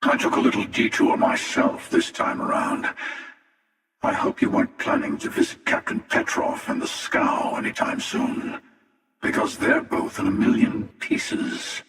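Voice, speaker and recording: Sci-fi game villain: ancient male hunter, gravelly and taunting, with theatrical swoops in pitch, drenched in cavernous reverb. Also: wildly swooping pitch, a little slow, a bit bright, distant mic, heavily acted. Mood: wry, condescending. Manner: drawling, wry, taunting, theatrical. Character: ancient, sardonic, menacing male starfaring hunter from many lives. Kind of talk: scripted video game character dialogue. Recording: cavernous reverb, voice set back from mic.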